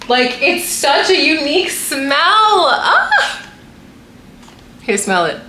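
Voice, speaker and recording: Young woman vlogger, bubbly chatty haul, bright tone, roomy echo. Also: sits high, big pitch swings, very slow. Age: young adult. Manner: bubbly, chatty, many "like" fillers. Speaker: vlogger showing a travel haul. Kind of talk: casual chat to camera. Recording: roomy echo, faint room noise.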